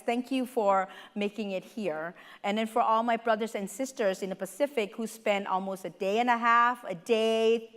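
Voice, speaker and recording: A woman with a Samoan accent, whose first language is Samoan, giving a warm and humorous welcome speech as a faculty member at a conference. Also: mid-range voice. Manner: warm, heartfelt and humorous, with lists spoken slowly and deliberately. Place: large conference hall. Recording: hall with long reverb.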